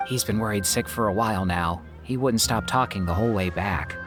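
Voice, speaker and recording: A calm male companion from an anime game , played by a man. The voice is fairly low and matter-of-fact, with music behind it. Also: brisk, close to an everyday voice. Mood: dry.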